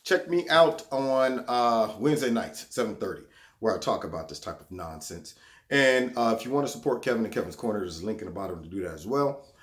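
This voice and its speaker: A man's voice: lowish voice, animated, fairly quick. Sarcastic man hosting a political vlog. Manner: sarcastic, repeats words when heated. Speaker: vlog host.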